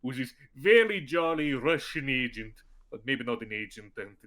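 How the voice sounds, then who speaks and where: A man's voice: mid-range voice, expressive, moderate pace, sharp tone. A man talking as a guest voice actor in a casual podcast interview, chatty and rambling with many restarts.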